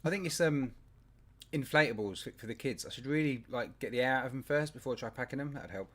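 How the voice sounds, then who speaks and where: A man's voice: medium pitch, quick pace. A man co-hosting a live show in casual chat, telling stories and laughing often. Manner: animated storyteller, laughs often. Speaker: podcast co-host.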